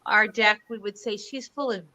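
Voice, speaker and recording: A woman's voice: mid-range voice, steady tone, moderate pace, bright, forceful tone. A woman hosting a casual online show over a video call, chatty and gushing as she introduces her guest. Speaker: host.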